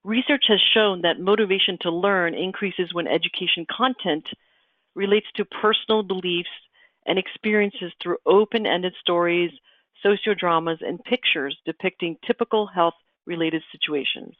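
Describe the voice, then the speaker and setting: A woman's voice: fairly low pitch, moderate pace. A woman from Argentina, with Spanish as her first language, presents a webinar in an explanatory way. Her voice comes through thin, phone-like audio. The sound is dry, with no room echo. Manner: explanatory, calls "next" for slides.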